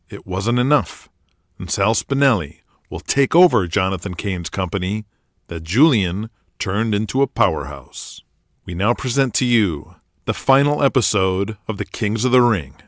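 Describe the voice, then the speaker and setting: A man's voice: fairly low pitch, no room sound, studio quality. A man with an American accent reading dramatic scripted narration for an audio drama.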